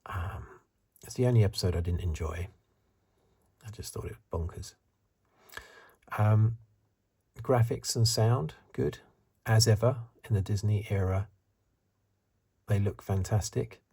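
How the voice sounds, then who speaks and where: Low, hushed, breathy man doing ASMR. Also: a little slow, long pauses. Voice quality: breathy, soft, partly whispered. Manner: hesitant, musing, conversational. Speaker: ASMR reviewer. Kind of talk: soft-spoken ASMR commentary.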